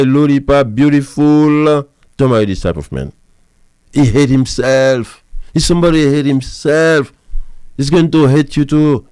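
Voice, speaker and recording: A man's voice: a little high, darkish tone, clear, resonant tone, dry recording. A man lecturing women on marriage as a pastor and counselor, repeating his points with emphasis. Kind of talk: preachy advice monologue.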